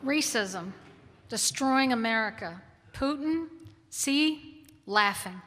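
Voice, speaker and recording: A woman delivers short scripted statements slowly in a bright, forceful voice. It is pitched fairly high and echoes through a large hall. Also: animated. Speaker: reader of short personal statements. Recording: long hall reverb.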